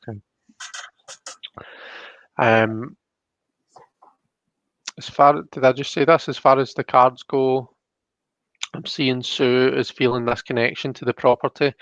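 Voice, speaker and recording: A man's voice: medium pitch, fairly bright tone, no room sound. Guy doing a casual live card reading, rambles and hesitates a bit, lo-fi close dry mic. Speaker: host reading tarot cards.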